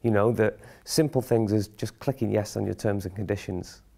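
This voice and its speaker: A man from a theatre company giving a reflective, measured explanation in an interview. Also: on the low side, fairly even intonation, gravelly, dark tone.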